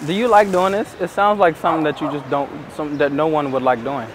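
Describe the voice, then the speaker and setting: A man's voice: fairly high pitch, fast talker, crowd noise. A man from the United States, a dating coach, chatting casually and asking probing questions. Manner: relaxed, probing questions, laughs easily. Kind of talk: casual chat. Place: outdoors in a busy city square. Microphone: far hidden camera. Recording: street noise, distant camera.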